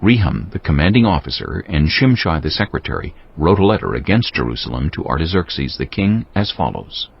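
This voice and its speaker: A man narrates scripture in a solemn, measured storytelling style. His voice is very deep and gravelly, with expressive rises and falls. The recording is a touch dull, with faint noise. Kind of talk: scripted dramatized Bible narration.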